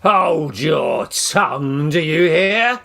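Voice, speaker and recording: A man's voice: a little high, expressive, drawn-out words, creaky, a bit bright, exaggerated voice. A man acting a bullying, hot-tempered duke in a radio play, blustering and domineering. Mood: menacing. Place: actor's home, recorded remotely.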